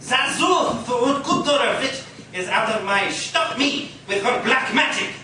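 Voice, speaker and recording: A man's voice: very high voice, animated, measured pace, sharp tone, heavily acted. A man playing a cruel, gloating Nazi saboteur in a 1940s radio serial. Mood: gloating menace. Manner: menacing, gloating. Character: burly cruel gloating Nazi saboteur leader, comic-book villain. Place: live performance in a coffee house. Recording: echoey live room from far off, faint noise.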